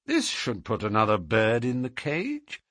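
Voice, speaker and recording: A man performs a self-assured gentleman detective from a classic mystery story. He speaks with courteous irony and precise phrasing, in a lively, swooping pitch with a slightly husky edge and very little room sound. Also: unhurried, no echo, clean studio sound, exaggerated voice. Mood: triumphant reproach. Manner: courteous, ironic, precise, unruffled.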